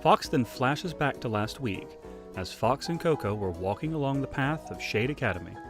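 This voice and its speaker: A man's voice: medium pitch, expressive, normal speed, music in the background. A man co-narrating a fan audio drama in a playful, casual way.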